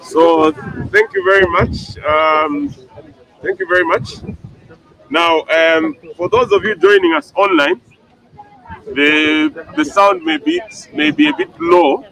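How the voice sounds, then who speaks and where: A man's voice: on the high side, takes it slowly, vocal fry, low-quality recording. A man interviewing a new sister outdoors for a live stream, talking with many fillers and restarts. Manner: hesitant, filler-heavy, animated. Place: outdoors at a celebration.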